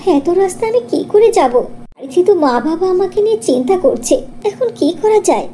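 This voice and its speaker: A woman's voice: extremely high pitch, lively intonation, normal speed, mellow, slight background noise. A woman voicing a kind, humble young girl in a cartoon fairy tale, speaking earnestly. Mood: weary wishing.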